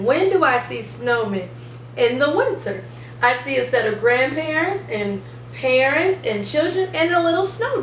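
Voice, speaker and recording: A woman hosting an instructional video for parents and teachers, speaking in an animated, emphatic, teacherly way. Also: high-pitched. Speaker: host of an education channel for parents and teachers. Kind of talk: upbeat instructional video to camera. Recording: roomy, distant mic, faint background noise.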